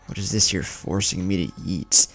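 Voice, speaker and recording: A man's voice: low-pitched, normal speed, fairly bright tone, soft background music. A man playing a cheeky, pouting teenage ninja boy who whines and grumbles in an anime fan story. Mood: pouty, grumbling.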